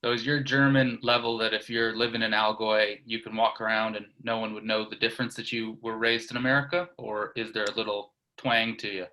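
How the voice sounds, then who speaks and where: A man's voice: lowish voice, level tone, a little fast, hardly any pauses, sharp tone, rough recording. A man hosting a casual interview, asking loose, rambling questions. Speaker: interviewer, host.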